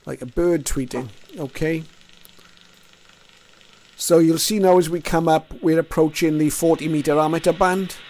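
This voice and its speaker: A man's voice: on the high side, steady tone, moderate pace, a little mellow, poor audio. Man narrating radio tuning, music behind. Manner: unscripted, thinking aloud in short remarks. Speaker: radio hobbyist host. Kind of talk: casual screen-recorded tuning commentary. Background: radio music and signals from the receiver.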